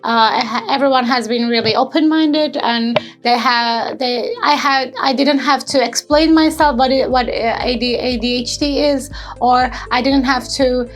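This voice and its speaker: A woman's voice: sits high, fairly even intonation, unhurried. Earnest young woman. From Turkey. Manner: earnest, searching for words with many fillers and restarts. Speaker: intern and entrepreneur. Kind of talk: personal testimonial interview.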